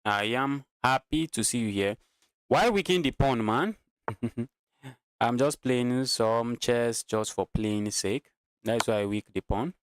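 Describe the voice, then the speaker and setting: A man's voice: medium pitch, slow. A man from Nigeria with a Nigerian accent, giving casual live commentary on his own game as a streamer, thinking aloud, repeating phrases and chatting to viewers. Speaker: chess streamer.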